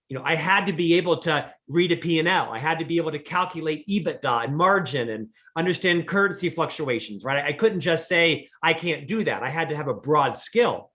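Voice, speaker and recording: A middle-aged man with a notably high voice talks as a podcast guest. He tells candid, self-deprecating stories in an earnest, conversational way. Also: a bit bright. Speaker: podcast guest, leadership expert. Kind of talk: conversational interview.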